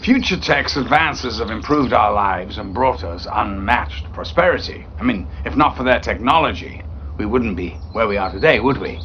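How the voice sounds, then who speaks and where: A man's voice: on the low side, animated, normal speed, slight background noise, lightly acted. A male actor playing a complacent politician in a video game, giving glib reassurances as if to the press. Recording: dull lo-fi game audio.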